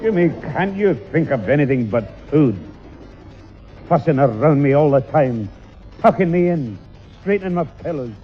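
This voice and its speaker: A crotchety old Northern English grandfather acted by a man blusters and exclaims in a highly animated, rather high voice. It is 1960s radio comedy with dull sound. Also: normal speed, soft background music, lightly acted. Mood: exasperated. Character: grumpy, exasperated old grandfather. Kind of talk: 1960s British radio sitcom acting.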